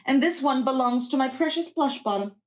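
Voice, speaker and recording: A woman's voice: sits high, lively intonation, fairly quick, pitched above the performer's own voice. A woman voicing a proud, indignant old woman farmer from a storybook, exclaiming emphatically. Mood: insistent.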